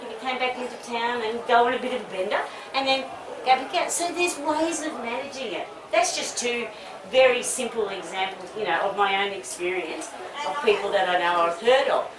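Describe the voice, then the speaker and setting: A middle-aged Australian woman giving an informal, rambling public talk with blunt asides, taking questions from the audience. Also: on the high side. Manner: chatty, rambling, blunt, with swearing and laughs. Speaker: medical cannabis advocate giving a festival talk. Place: festival talk in front of an audience. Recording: echoey, distant mic, audience crowd audible.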